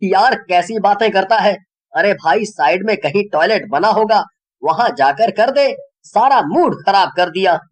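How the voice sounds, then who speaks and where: A man's voice: high voice, expressive, measured pace. A man voicing an exasperated young man scolding his friend. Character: young man, the joker's grumbling buddy.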